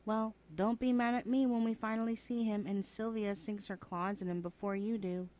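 A woman's voice: medium pitch, level tone, moderate pace, darkish tone, natural voice. A woman playing a chatty, teasing young adult best friend in a casual, hesitant ASMR roleplay. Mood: coaxing, teasing. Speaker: voice actor in audio roleplay. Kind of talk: ASMR roleplay, chatty one-sided conversation.

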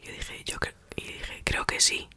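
A woman with an accent from Spain whispers a casual ASMR storytime in a breathy, unvoiced voice, retelling it colloquially and acting out the dialogue. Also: unhurried, pushed and bright.

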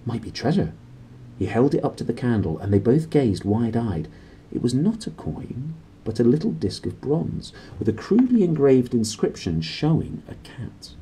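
A man in his thirties reading a children's novel aloud with animated, dramatic emphasis, recorded in a small room. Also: medium pitch, moderate pace, slight background noise, rough recording. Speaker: storybook reader. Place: small cluttered room indoors.

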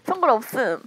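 A woman streaming a social deduction game, playful and excitable, laughing often. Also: extremely high pitch, dry recording. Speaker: streamer hosting a multiplayer game. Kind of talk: casual game chat with viewers and players.

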